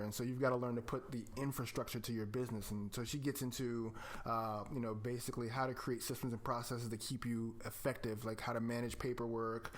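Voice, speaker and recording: A man co-hosting a podcast, talking casually and emphatically as he recommends resources and often addresses the listener directly. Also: medium pitch. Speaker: podcast co-host.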